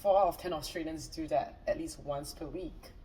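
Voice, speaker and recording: A woman's voice: sits low, moderate pace, dark tone, distant mic, slight background noise, poor audio. A woman from Singapore as a guest in a casual interview chat, upbeat and friendly with frequent fillers.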